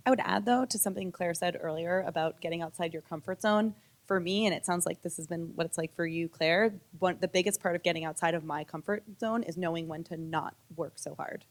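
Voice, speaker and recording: A woman's voice: lowish voice, a little fast. A woman speaking candidly and personally on a public panel, in a conversational way. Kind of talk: panel discussion.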